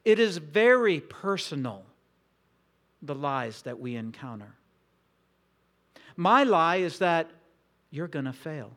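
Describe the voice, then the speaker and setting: A man preaching a sermon in a slow, reflective, intimate way with long pauses. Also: on the high side, sing-song, a bit bright. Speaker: pastor.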